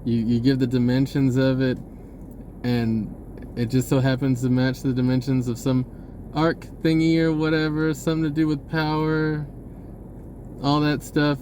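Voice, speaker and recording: A man's voice: medium pitch, flat delivery, moderate pace, darkish tone, a little hiss or hum. A man giving a rambling, spontaneous message in an informal, hesitant way, often rephrasing as he goes. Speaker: online video creator.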